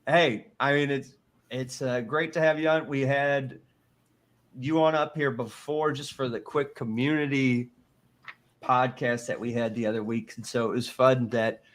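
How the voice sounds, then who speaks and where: An upbeat man hosts a casual online chat, his voice sitting in an ordinary middle pitch. He rambles a little and restarts his sentences as he goes. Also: steady tone, measured pace. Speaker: host.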